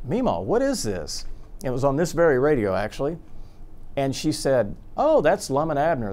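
A man's voice: on the high side, darkish tone. A man in his fifties with an American accent, a radio host telling his story as in an interview. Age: 50s. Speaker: old-time radio show host. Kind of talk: interview reminiscence. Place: small radio studio office. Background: plucked guitar music.

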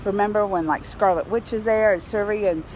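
A middle-aged woman giving a casual, excited review to camera in an animated, colloquial way. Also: mid-range voice, a little fast, low-quality recording. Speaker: vlogger reviewing a film. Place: outdoors on a high-rise terrace in a city. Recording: clear outdoor ambient city noise.

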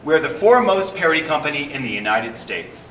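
A man in his fifties speaks from a small theater stage, making his pitch with deadpan jokes. His voice is bright and rises and falls freely. He sounds a little far from the camera, with an echoey room around him. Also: fast, slight background noise. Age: 50s. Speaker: theater company founder. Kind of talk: casual interview to camera.

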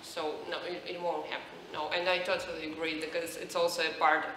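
A woman with a Ukrainian accent talks unscripted as a panelist. Her voice is bright and forceful, recorded in an echoey hall with faint noise. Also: low voice, level tone, a little fast. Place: large glass-walled event space, panel before seated audience.

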